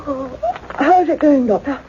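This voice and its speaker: A woman's voice: high-pitched, animated, unhurried, dark tone. A woman playing a composed, dutiful scientific colleague in a radio play, attentive and formal. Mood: attentive, curious. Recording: dull, noisy lo-fi radio recording.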